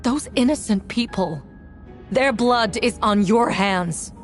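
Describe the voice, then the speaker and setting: A woman's voice: on the high side, takes it slowly, faint music behind. A woman voicing an imperious female knight in a video game, accusing theatrically and growing frantic. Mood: righteous accusing. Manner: theatrical, forceful courtroom accusing. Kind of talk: dramatic video game voice acting.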